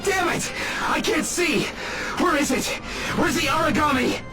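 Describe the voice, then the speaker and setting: A man's voice: extremely high pitch, unhurried. An actor playing a terrified, panicked male soldier crying out for rescue in a video game. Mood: panicked, pleading. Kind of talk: video game cutscene voice acting. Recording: shouted from afar under loud music.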